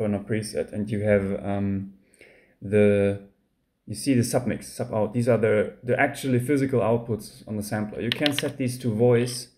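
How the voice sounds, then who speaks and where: A man's voice: medium pitch, a little mellow, a little hiss or hum. A man with a German accent, speaking English as a second language, casually walking through his track in a home music studio with many ums and restarts. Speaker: music producer.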